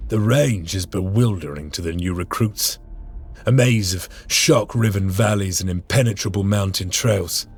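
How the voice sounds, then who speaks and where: An actor playing a grim veteran space marine sergeant who narrates, solemn and measured with old-fashioned phrasing. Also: male voice, deep voice, husky. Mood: brooding. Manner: solemn, measured, archaic speech. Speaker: narrator and squad leader. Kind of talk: game cutscene voice acting. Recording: with score music behind.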